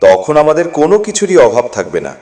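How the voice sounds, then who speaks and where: A man acting a poor, hardworking farmer father, gentle and self-sacrificing, in a dramatised moral story. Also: fairly high pitch, wildly swooping pitch, brisk. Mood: reassuring. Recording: long echoing reverb.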